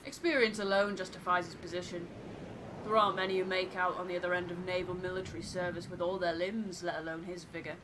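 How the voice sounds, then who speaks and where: Game first mate, log narration female performer, reflective and guarded, lowish register, noisy backdrop. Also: little pitch movement, natural voice. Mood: reflective, wary. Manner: measured, reflective, literary.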